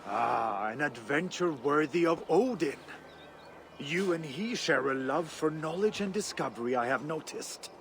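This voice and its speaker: A man playing a hearty Viking conqueror turned devout and gentle in a video game, speaking in an unhurried, reflective way. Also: on the high side, animated, faint music behind. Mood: fond. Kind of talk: dramatic video game acting.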